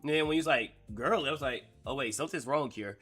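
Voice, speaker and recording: A hoarse man hosting a casual live-stream chat, telling stories in an excitable, slangy way. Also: medium pitch, fast, soft background music. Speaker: streamer host.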